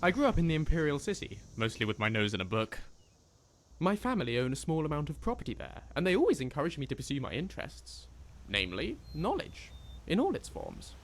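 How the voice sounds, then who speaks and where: A man's voice: on the high side, huge pitch swings, brisk. A man playing an eager, bookish young scholar in a video game, polite, wordy and well-spoken, with a self-deprecating manner. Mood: enthusiastic. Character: eager, bookish young male scholar adventurer from a fantasy empire. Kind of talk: video game character dialogue. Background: music.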